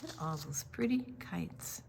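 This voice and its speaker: Woman reading a kids picture book out loud, slow and super expressive, lots of up and down. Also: fairly low pitch, gravelly, slight background noise. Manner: question-and-answer delivery aimed at young children. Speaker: children's storytime reader.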